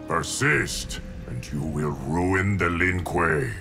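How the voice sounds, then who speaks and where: A solemn, imperious game warrior. He speaks formally and slowly in a gravelly mid-range voice, with loud music behind. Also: deep voice. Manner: measured, formal. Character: stern, solemn immortal fighter, imperious.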